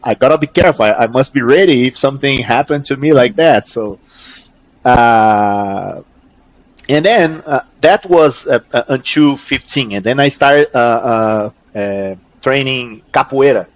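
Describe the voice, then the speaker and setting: Brazilian-accented man, halting English, dry sound. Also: medium pitch, dry recording, low-quality recording. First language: Portuguese. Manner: halting, searching for English words, repeats words. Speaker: interview guest, jiu-jitsu athlete. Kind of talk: casual conversational interview answers.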